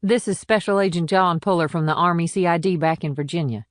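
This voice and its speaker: A woman's voice: on the low side, fairly quick, no echo, studio quality, natural voice. A woman playing a frank, composed small-town cop in an audiobook, speaking matter-of-factly. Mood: businesslike. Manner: matter-of-fact. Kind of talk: audiobook character dialogue.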